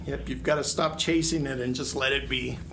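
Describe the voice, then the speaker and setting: A man's voice: medium pitch, steady tone, a little fast, creaky, background noise. A man, a musician guest, making short dry remarks in casual interview banter. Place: radio studio.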